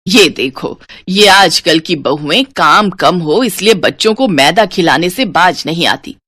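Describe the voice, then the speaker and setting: A woman's voice: fairly low pitch, expressive, measured pace, sharp tone, husky, no echo, studio quality, full character voice. A woman voicing a scolding, sarcastic older mother-in-law in a moral story cartoon. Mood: grumbling. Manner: nagging, sarcastic. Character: scolding, taunting older mother-in-law, grumbling about daughters-in-law.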